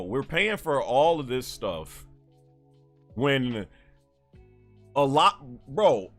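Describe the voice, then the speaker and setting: A man hosting a livestream and taking callers, talking in casual chat, animated and full of fillers and restarts. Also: on the high side. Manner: animated, laughs often, many fillers and restarts.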